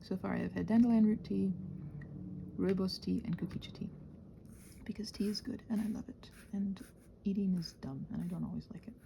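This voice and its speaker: A woman's voice: medium pitch, brisk, gravelly, noisy background. A woman chatting casually in a playful, self-deprecating, tangent-prone ramble, expressively acting out her own thoughts. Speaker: ASMR and audio content creator.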